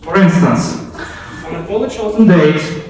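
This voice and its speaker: A man with a fairly high voice speaks publicly in a storytelling style. He addresses listeners directly, drawing them into the scene with rhetorical questions. The sound is heavily reverberant and picked up some way from the microphone. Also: a little slow, a crowd in the background. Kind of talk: storytelling speech with dramatic second-person narration. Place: echoey indoor room, recorded from a distance. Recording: very echoey room, distant microphone.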